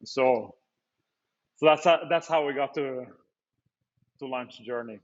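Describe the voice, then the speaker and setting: A man's voice: mid-range voice, slow pace, lo-fi sound. A man from Italy, a startup founder explaining his business in a webinar interview, fluent but with many fillers and restarts. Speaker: startup founder and CEO, guest. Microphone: video call.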